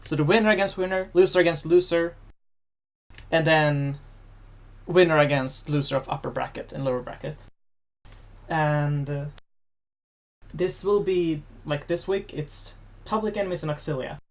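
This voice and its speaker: A man commentating a live online game match, relaxed and joking as he explains the format. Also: fairly high pitch, moderate pace, long pauses. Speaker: esports commentator.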